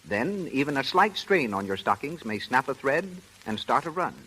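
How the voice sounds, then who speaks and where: A man's voice: medium pitch, big pitch swings, moderate pace, sharp tone, breathy edge, poor audio. An American man announcing and reading sponsor copy in the style of 1930s radio. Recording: vintage 1930s radio recording.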